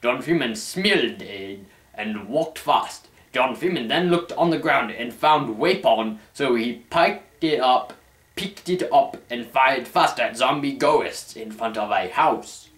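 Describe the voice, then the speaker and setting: A man's voice: mid-range voice, animated, slow, fairly bright tone. A young man reading a story aloud as host, in a mock-formal, theatrical storyteller's manner, recorded indoors a little way from the mic. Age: young adult. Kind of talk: mock-dramatic scripted narration. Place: small indoor room at home. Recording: roomy camera sound.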